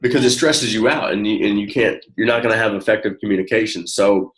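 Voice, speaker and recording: A man with a low-pitched voice gives one-on-one coaching in a casual, encouraging way. He talks in halting, informal phrases, circling back as he explains. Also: fairly bright tone, poor audio. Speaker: network marketing coach.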